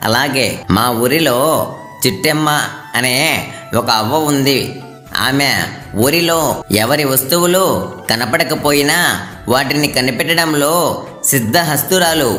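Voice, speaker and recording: A man voicing a respectful, obliging royal minister in an animated moral story. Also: a little high, measured pace, long pauses. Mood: deferential. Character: deferential royal minister and servant to the king. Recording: echoey, background music.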